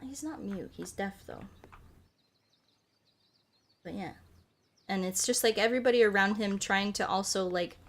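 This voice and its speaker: A woman streaming a game, chatting casually in a rambling, halting way with self-corrections and playful, cutesy phrasing. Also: medium pitch, a little hiss or hum. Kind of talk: casual chat while playing a game. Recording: music behind the voice. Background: music.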